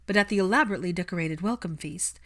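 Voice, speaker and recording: A woman's voice: fairly low pitch, racing, fairly bright tone. A woman reading fiction aloud as an audiobook narrator, in a scripted storytelling style.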